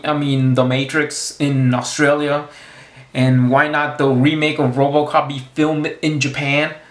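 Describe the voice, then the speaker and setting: Slow, halting man talking to camera. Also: on the high side, reverberant. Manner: halting, repeats words and restarts often. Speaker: vlogger. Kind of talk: casual rambling monologue to camera. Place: small room indoors against a plain wall.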